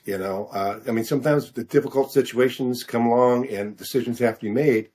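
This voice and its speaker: Halting, hesitant man in an interview. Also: mid-range voice, darkish tone. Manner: halting, hesitant, frequent throat-clearing. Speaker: guest author. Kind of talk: interview conversation.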